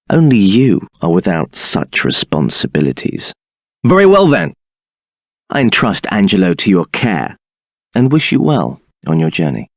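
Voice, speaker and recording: Smug scheming templar captain in a game, haughty, swoops all over, dry. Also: male voice, low-pitched, dry recording, full character voice. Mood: cold, dismissive. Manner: haughty, condescending, silky. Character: scheming arrogant male templar captain, adult. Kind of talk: video game voice acting.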